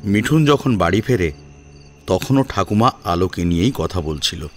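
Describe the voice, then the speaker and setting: A man narrating a dramatic audio story in an expressive storytelling style. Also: deep voice, moderate pace. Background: music.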